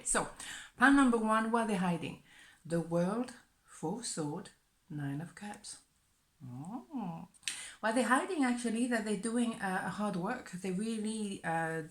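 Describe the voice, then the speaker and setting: A woman giving a casual, playful tarot reading, chatty and laughing often, sometimes dropping to a whisper. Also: fairly low pitch. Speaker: tarot reader. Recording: echoey room.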